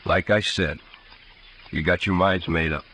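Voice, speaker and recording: A low, quiet American frontier rancher, stoic and resigned, with rustic speech. It is vintage radio western acting on a slightly noisy old recording. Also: male voice, fairly even intonation, a little slow. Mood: pleading, weary. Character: calm, resigned rancher accused of horse thieving.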